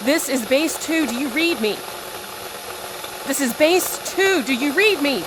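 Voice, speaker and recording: A female night-shift operator at a reactor base in an audio drama. Her voice sits fairly high, anxious and dutiful as she works through radio call-outs. There is noticeable noise behind her. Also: lively intonation, measured pace. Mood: worried, self-calming. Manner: radio-procedure call-outs, increasingly urgent.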